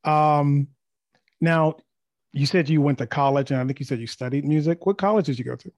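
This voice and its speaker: A man hosting a music podcast, asking questions in a halting way with many fillers. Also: on the high side, darkish tone, dry recording. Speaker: podcast host. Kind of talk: podcast interview.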